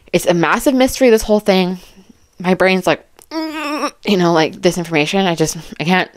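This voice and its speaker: A woman commentator talks casually and emphatically, as if chatting straight to her viewers. Her voice sits a little low, and she rambles in an animated, informal way. The sound is dry, with very little room to it. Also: clear, resonant tone, dry recording. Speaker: true crime commentary YouTuber.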